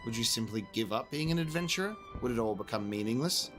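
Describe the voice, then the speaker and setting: Motherly game-guild matron, man reading the part, mid pitch, measured and gentle, game music under. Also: lively intonation, natural voice. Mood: wise, reflective. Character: motherly female guild receptionist, shrewd and kindly.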